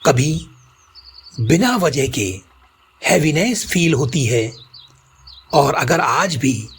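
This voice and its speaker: A man with an Indian accent leads a guided meditation at a very unhurried pace. His delivery is gentle and soothing, in short deliberate phrases, with lively rises and falls in a medium-pitched voice. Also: slightly rough. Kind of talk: slow guided meditation narration.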